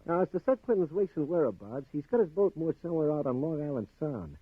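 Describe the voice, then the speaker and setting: An actor playing a blunt, slangy, streetwise sidekick in a 1950s radio drama. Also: male voice, a little high, very animated, fast, husky, no room sound. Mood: matter-of-fact. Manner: slangy, blunt tough-guy delivery. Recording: narrow-band 1950s radio recording.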